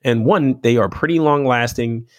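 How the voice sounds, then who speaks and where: A man explaining health topics in a measured, teaching way, often checking in with "right?" and filling pauses with "um.". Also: mid-range voice, dry recording, clean studio sound. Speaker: medical expert co-host. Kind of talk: explanatory health podcast talk.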